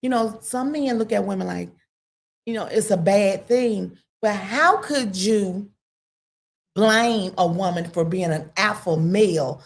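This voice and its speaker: A woman in a casual talk-radio discussion, rambling and emphatic, full of "you know" and sudden jumps in pitch. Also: a little slow, gravelly.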